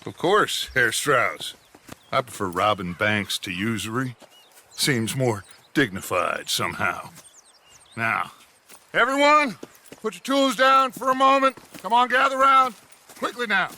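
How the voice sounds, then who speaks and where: A commanding American outlaw boss in a western game drama. He speaks slowly and grandly, swinging widely in pitch as if addressing followers, with a bright, forceful tone. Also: very animated, a little hiss or hum, exaggerated voice. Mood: rousing. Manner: theatrical, rhetorical, speechifying. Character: charismatic, commanding outlaw gang leader, middle-aged man. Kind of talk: dramatic video game acting, grand oratory.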